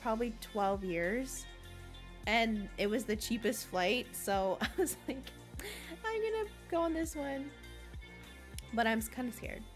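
A woman streaming a farming video game, chatting casually with viewers, giggling often and reading game lines aloud. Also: fairly high pitch.

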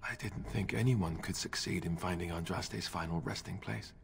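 A man's voice: low-pitched, moderate pace, vocal fry. Earnest, awed male knight. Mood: awed wonder. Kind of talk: video game voice acting.

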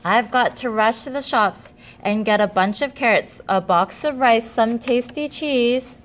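A woman reading a picture book as an eager, hurried little boy reciting his errand list urgently. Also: fairly high pitch, a little hiss or hum, lightly acted. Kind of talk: children's picture book read-aloud.

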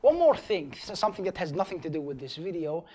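A man in his thirties from Bahrain with an Arabic accent, a native Arabic speaker, explaining science to camera in an emphatic, animated way. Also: fairly high pitch, fast. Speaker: science video host.

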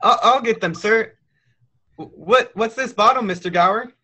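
Twelve-year-old boy, teenage male actor: very high, bright, forceful voice, cocky yet polite, radio play delivery. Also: normal speed, husky, full character voice. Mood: anxious, polite.

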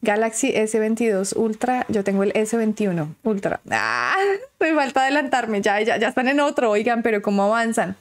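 A woman's voice: fairly high pitch, lively intonation, normal speed. Chatty Colombian woman reacting. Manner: chatty, exclamatory, laughing asides. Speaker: reaction video host. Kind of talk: excited reaction commentary. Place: home room.

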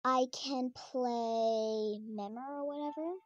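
A woman's voice: fairly high pitch, slow pace, dull audio. A young teenage girl giving a rambling, casual tour of her game house while filming a tablet screen, with lots of fillers and restarts.

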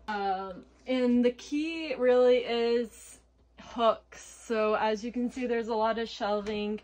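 A woman's voice: on the high side, slow pace. Chatty young woman touring her tiny house. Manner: relaxed, chatty, with fillers and small laughs. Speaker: tiny house owner giving a tour. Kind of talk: casual home tour. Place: small wooden tiny house, indoors.

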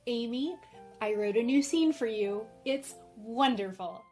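A woman's voice: a little high, unhurried, darkish tone, faint music behind, natural voice. A woman playing a spirited, ambitious, bossy young sister in an old-time radio drama. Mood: eager, dreamy.

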